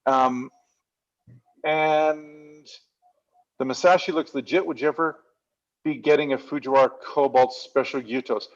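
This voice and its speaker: A man's voice: medium pitch, fairly even intonation, unhurried, long pauses, dull audio. A man co-hosting a casual live-stream chat, reading out viewer questions in a joking, chatty way. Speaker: co-host of a knife shop Q&A stream.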